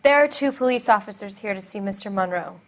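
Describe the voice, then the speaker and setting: An actress playing a polite, efficient receptionist in a crime video game. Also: female voice, medium pitch, a little fast, lightly acted. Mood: businesslike. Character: brisk, businesslike female receptionist.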